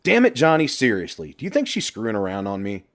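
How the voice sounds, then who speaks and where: A man's voice: fairly low pitch, wildly swooping pitch, quick pace. A man voicing a defeated, defensive middle-aged husband protesting to his friend in an audiobook. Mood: anxious.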